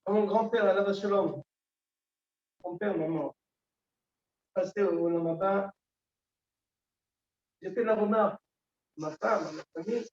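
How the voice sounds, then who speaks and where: A man in middle age delivers a eulogy, his high, soft-toned voice faltering with grief and long pauses. He is captured from well back in an echoing hall, and the recording sounds dull and muffled. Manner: halting, with repeated words and long pauses. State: grieving, emotionally moved. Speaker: son giving a eulogy. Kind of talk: funeral eulogy. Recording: echoey hall, distant dull mic.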